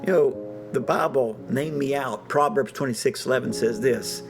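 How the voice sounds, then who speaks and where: Gravelly older storyteller. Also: male voice, medium pitch, normal speed. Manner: animated, laughing often, repeats "you know what I'm saying". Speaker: storyteller, preacher. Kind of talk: folksy personal storytelling. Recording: music bed underneath.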